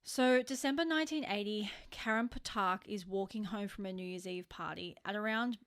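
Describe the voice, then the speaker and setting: A woman's voice: mid-range voice, normal speed. An Australian woman co-hosting a true crime podcast, telling the story in an informal, sweary, conversational way.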